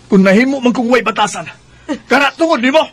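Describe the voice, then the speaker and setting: Rebellious young adult son, Cebuano Bisaya radio drama. High, slightly rough voice, hot-headed delivery. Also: male voice, expressive, fast, fairly bright tone, exaggerated voice. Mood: defiant, indignant.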